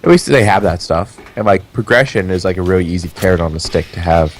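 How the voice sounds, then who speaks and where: A man's voice: sits low, fast, a little hiss or hum. A man chatting casually as co-host of a game stream, rambling and swearing freely. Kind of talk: casual chat over gameplay.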